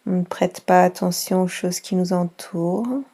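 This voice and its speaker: A woman leading a guided yoga nidra session for children, speaking softly with long pauses between short phrases. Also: lowish voice, fairly even intonation. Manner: slow, soft guiding voice with long pauses.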